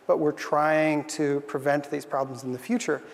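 A man's voice: fairly high pitch, flat delivery, moderate pace, creaky, big-room sound. A young man giving an earnest, thoughtful conference talk on a stage, with frequent fillers. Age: young adult. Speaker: conference speaker. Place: stage in a large hall.

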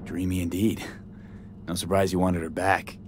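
A lowish male voice over clear vehicle noise, as a streetwise game mercenary. He is level-headed and pragmatic, with curt, sardonic delivery. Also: flat delivery, moderate pace. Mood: dry, teasing. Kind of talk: video game voice acting.

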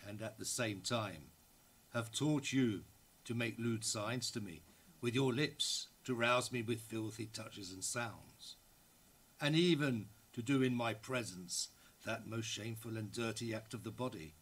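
Low-voiced, lustful letter writer. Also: male voice, a little slow, fairly bright tone. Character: lustful, teasing early-1900s writer addressing his beloved. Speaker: actor reading letters. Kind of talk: dramatic reading of a love letter.

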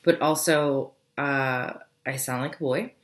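A young woman with a cold and a scratchy, hoarse voice, chatting casually on a livestream as a business coach, rambling with quick asides. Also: extremely low pitch, steady tone, reverberant. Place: home office, indoors.

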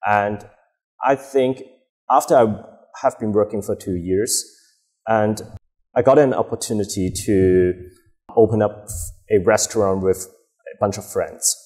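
A young man in a fairly low voice. He answers at an unhurried, halting pace, full of fillers and restarts, recorded close on a lapel mic. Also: a little mellow. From Hong Kong. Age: 20s. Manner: hesitant, many fillers and restarts. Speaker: guest, bar co-owner. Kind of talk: casual interview answers. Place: dim bar set with a host.

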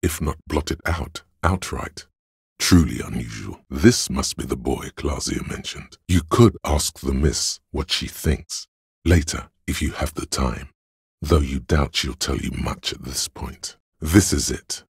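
An extremely low, creaky voice of pure logic, narrating inside a detective's head in a video game. It is husky and deliberate, coolly deductive and a little condescending. The sound is close and dry. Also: male voice, no room sound, heavily acted. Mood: cool, analytical. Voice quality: gravelly, husky. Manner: measured, deliberate, dryly analytical. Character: detective's inner voice of logic, cool, cerebral, condescending. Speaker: voice actor.

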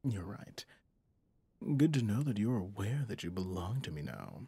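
A man voicing a possessive, smug mafia boss and smitten lover in an intimate ASMR roleplay, teasing and dominant, with a gravelly, breathy, often half-whispered voice. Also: lowish voice, sing-song, dark tone, no room sound, full character voice. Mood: teasing, seductive. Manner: slow, teasing, dominant, pet names.

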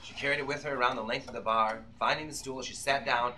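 A man in his thirties narrating a novel as a suspenseful old-time radio play, with deliberate, dramatic phrasing. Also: mid-range voice, fairly even intonation, a little hiss or hum. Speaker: author reading his own novel. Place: magazine-lined shop, indoors, small audience. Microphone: camera in the audience, some distance away. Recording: live shop performance under loud music. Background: live violin and keyboard music.

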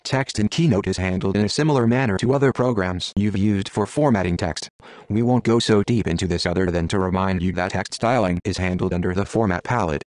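Low-voiced man explaining software, dry sound. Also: dry recording. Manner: methodical, step-by-step explaining. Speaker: instructor. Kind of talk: screen-recorded software tutorial.

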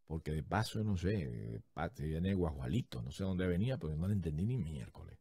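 A man in his thirties with a Venezuelan accent, hosting a podcast and telling a story in a lively, jokey way, recorded on a studio mic in a small home studio. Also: rumbling low, moderate pace, husky, no echo. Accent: Venezuelan Spanish. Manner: lively, jokey, acts out the people in his story with big gestures. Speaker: podcast host. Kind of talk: animated storytelling monologue. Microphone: large studio condenser mic, headphones.

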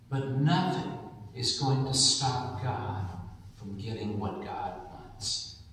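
An American man in his sixties preaching a sermon as a pastor, with measured, deliberate phrasing and pauses. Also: medium pitch, fairly bright tone. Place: large church sanctuary, indoors at the altar. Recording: echoey church hall, distant microphone.

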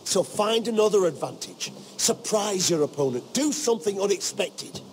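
A man playing a gruff knight in a video game, giving blunt instruction like a combat trainer, with music behind. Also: high voice, animated, normal speed, darkish tone, a little hiss or hum. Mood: firm, instructive. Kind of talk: dramatic video game voice acting. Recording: game dialogue over music.

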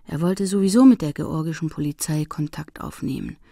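An actress playing a sober, persistent female investigator in a German crime radio drama, with a deliberate, questioning manner. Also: low voice, gravelly, mellow, no echo, studio quality. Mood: pondering.

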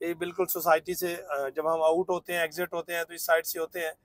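High-voiced man touring by car. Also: flat delivery, quick pace, a bit bright, no echo. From Pakistan. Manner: fluent salesman patter with filler phrases. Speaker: real estate vlogger. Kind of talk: narrated site-visit tour. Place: inside a moving car.

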